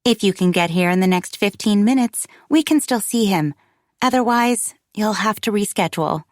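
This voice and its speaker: A woman voicing a polite, businesslike female receptionist making a brisk call in an audiobook. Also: medium pitch, expressive, no echo, clean studio sound, lightly acted. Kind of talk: dramatized audiobook dialogue.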